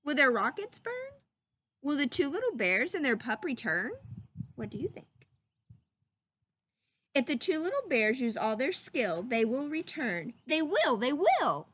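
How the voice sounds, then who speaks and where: Female read-aloud teacher, high voice, very animated intonation, stretches key words and checks in with young listeners. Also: a little slow, a little hiss or hum. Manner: animated storybook delivery, drawing out words, asking questions. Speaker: teacher reading a picture book aloud to children. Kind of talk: expressive children's read-aloud with questions to listeners.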